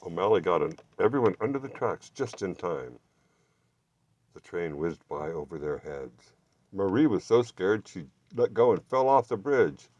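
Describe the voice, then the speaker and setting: A man reading a children's storybook aloud with expressive, deliberate narration. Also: low voice, no echo, highs cut off. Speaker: children's bookstore reader.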